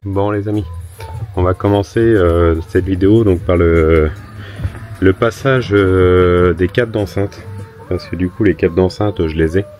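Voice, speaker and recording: Hoarse deep-voiced man vlogging. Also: measured pace, music in the background. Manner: hesitant, lots of filler sounds, relaxed explaining. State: hoarse, a little sick. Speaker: vanlife vlogger. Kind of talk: casual DIY vlog talk. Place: inside his converted bus.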